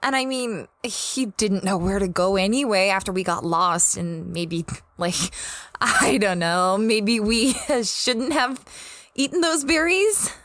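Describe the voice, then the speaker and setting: A woman's voice: medium pitch, takes it slowly, a bit bright, dry recording. A woman playing an apologetic young female space adventurer in an audio drama, hesitant and self-effacing. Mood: awkward, apologetic. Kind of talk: audio drama dialogue and diary-like narration.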